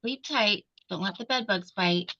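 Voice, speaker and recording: A woman's voice: medium pitch, a little slow, bright, forceful tone. A woman playing a controlling, soothing mother in a table read of a horror script. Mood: firm, coaxing. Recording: dull, band-limited sound.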